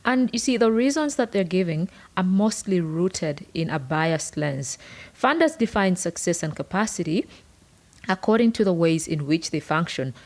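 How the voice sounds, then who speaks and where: A woman's voice: fairly low pitch, expressive, normal speed. A woman with a Ugandan accent speaking as a podcast co-host in an earnest, articulate, explanatory way. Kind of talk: prepared podcast conversation.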